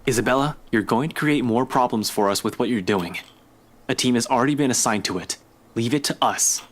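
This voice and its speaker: A man's voice: medium pitch, brisk, lightly acted. A man playing a stern, exasperated police officer in a visual novel game.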